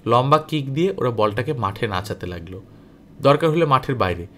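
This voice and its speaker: A man reading a novel aloud as an audiobook narrator, in an expressive, dramatic storytelling style. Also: mid-range voice, fairly quick.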